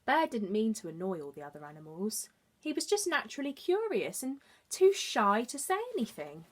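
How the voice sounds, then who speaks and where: A woman's voice: fairly high pitch, big pitch swings, moderate pace. A woman teacher reading a children's storybook expressively, adding explanatory asides for young listeners. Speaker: early years teacher reading aloud.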